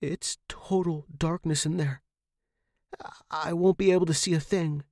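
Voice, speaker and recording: A man's voice: a little high, steady tone, measured pace, darkish tone, no echo, studio quality, voice pushed up. A man voicing a defiant, frightened young woman in a horror story, urgent and prone to stammering. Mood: frightened, confused. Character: frightened, defiant young woman, new tenant demanding answers. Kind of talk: dramatized horror audiobook reading.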